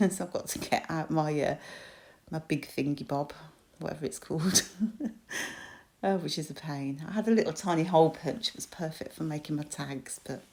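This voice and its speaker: A British woman in her forties with a croaky voice, chatting casually as she talks through a scrapbook craft project with lots of ums and small laughs. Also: low voice. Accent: British English. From United Kingdom. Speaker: crafter and shop designer. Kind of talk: crafting tutorial voice-over.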